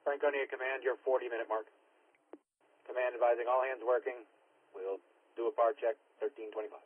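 A male fire and ambulance dispatcher speaks over a two-way radio in a clipped, procedural way. His voice is medium-pitched and rough-edged. The sound is narrow and tinny, like a scanner feed. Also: steady tone, a little fast. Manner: clipped, repeats units and times. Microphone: dispatch radio microphone.

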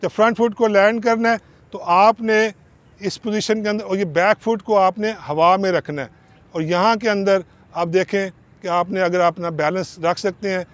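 A man's voice: sits high, fairly even intonation, moderate pace. A middle-aged man, a cricket coach explaining a bowling balance drill step by step and counting the repetitions aloud, speaking outdoors on a lapel mic. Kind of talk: instructional coaching video.

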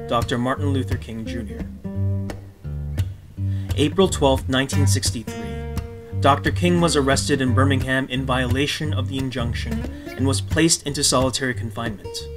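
A man reading scripted documentary narration in a measured, phrase-by-phrase manner. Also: medium pitch. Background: guitar music.

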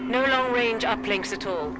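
A woman's voice: a little high, animated, moderate pace, very noisy, lightly acted. A woman playing an analytical, composed supersoldier in a video game, speaking in a matter-of-fact way. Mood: composed, questioning. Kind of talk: video game cutscene acting. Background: loud music.

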